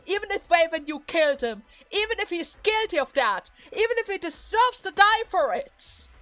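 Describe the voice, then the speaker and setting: Woman voicing a fiery, high-pitched young noblewoman. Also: big pitch swings, unhurried, fairly bright tone, dry recording, full character voice. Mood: accusing, angry. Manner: heated, accusing, emphatic. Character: young noblewoman, defiant daughter seething with righteous anger. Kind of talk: dramatic game story voice acting.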